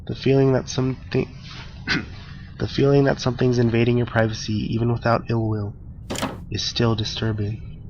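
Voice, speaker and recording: A man hosting a horror game playthrough, gravelly and a bit hoarse from allergies, joking and talking back to the game. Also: mid-range voice, flat delivery, normal speed, long pauses, a little mellow, a little hiss or hum. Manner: jokey, talks to the game, gasps at scares. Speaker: gaming channel host. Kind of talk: casual horror game commentary. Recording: dull lo-fi audio with game music. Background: game music.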